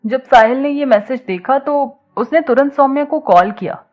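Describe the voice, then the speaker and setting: A woman narrating a scripted audio story in an expressive storytelling style, on a slightly muffled recording. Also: high voice, moderate pace.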